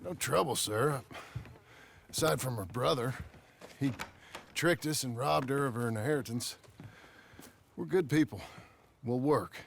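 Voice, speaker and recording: An actor playing an earnest, desperate American drifter looking for ranch work in a western game, plain-spoken and halting. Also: male voice, mid-range voice, gravelly, slight background noise. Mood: earnest pleading. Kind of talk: western video game acting.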